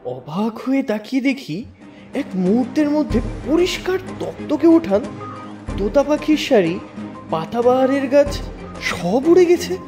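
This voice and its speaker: Audiobook narrator, expressive swooping delivery, scripted first-person storytelling, a bit off-mic, music behind. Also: high-pitched, a little slow, distant mic. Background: background music.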